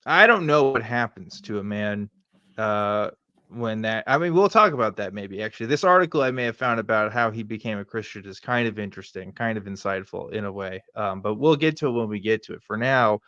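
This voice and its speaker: A man's voice: lowish voice, a little fast, bright, forceful tone, clean tone, dry recording, muffled recording. A man hosting a live show, chatting casually and joking in a sarcastic manner. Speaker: host of a live weekly show. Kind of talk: casual, joking commentary chat.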